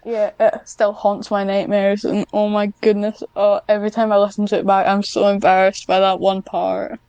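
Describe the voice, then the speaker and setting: A woman's voice: medium pitch, monotone, moderate pace, darkish tone, smooth, dry recording, highs cut off. A woman chatting casually on a podcast as the interviewer, informal and a little hesitant. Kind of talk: casual chat.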